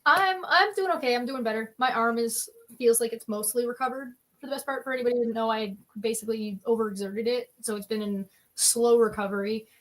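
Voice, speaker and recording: A woman with a slightly high voice talks through a mild hoarseness. She is playful and teasing, cracking jokes and making cheeky requests in a casual stream chat. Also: brisk, hardly any pauses, a bit bright, poor audio. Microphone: new microphone on a video call.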